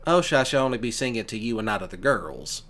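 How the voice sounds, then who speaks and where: A man's voice: medium pitch, very animated, vocal fry, fairly bright tone. A man playing an easygoing, affectionate country boyfriend in an ASMR roleplay, chatting casually and teasingly with his sweetheart. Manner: casual, teasing, folksy, with pauses. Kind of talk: ASMR boyfriend roleplay, one-sided conversation.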